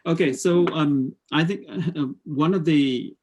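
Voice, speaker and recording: A man's voice: on the high side, slow, a little mellow, slight background noise. A man in his forties from Vietnam with a Vietnamese accent, presenting his startup on a video call, hesitant with many fillers and restarts. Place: home room with slanted ceiling.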